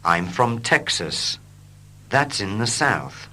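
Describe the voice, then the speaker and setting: Slow, lively, bright-voiced male English teacher. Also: on the low side. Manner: slow, clear and expressive. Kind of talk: slow scripted reading for learners.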